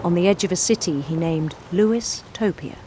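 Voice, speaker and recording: A middle-aged woman playing a measured, concerned psychiatrist, narrating a letter deliberately in a game. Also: on the low side, very noisy, natural voice. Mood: measured, reflective. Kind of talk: scripted game narration, letter read aloud. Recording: loud music over the voice.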